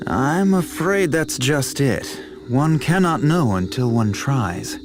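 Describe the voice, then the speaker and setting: Fantasy game sage: composed, formal, grave, mid-pitched, explanatory, with prominent music underneath. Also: male voice, expressive, slow pace. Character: learned, composed man, explaining ancient lore gravely. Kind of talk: video game voice acting. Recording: music behind the voice.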